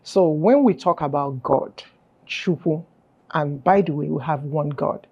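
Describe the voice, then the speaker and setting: A woman's voice: deep voice, measured pace, dark tone, husky, muffled recording. A woman, a guest on an interview, explaining her beliefs firmly and stressing key words. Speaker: guest, film festival founder. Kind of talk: earnest explanatory interview talk.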